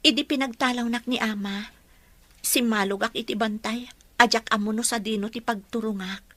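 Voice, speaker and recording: A woman's voice: on the high side, fairly even intonation, normal speed, fairly bright tone, no room sound. An actress playing a meek, distressed and grateful young mother in an Ilocano radio drama. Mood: uneasy, recounting. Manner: meek, deferential, pleading. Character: distressed, grateful, pregnant poor young woman. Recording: faint background noise.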